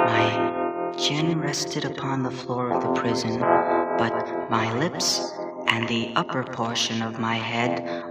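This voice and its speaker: A man giving a dramatic reading of a horror story, with a deliberate, anguished, theatrical delivery. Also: mid-range voice. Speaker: story narrator. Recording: roomy, distant vintage recording with music. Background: prominent music.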